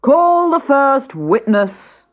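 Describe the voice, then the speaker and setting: A woman voicing a stern, blustering male king who gives slow, pompous, loud commands in a storybook court. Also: sits high, very animated, pitched above the performer's own voice, exaggerated voice. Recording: dull cassette transfer with music.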